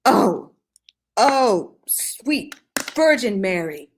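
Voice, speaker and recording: A woman doing a loud, sugary Korean mom. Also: medium pitch, sing-song, extremely slow, a little hiss or hum, voice pushed up. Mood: aghast.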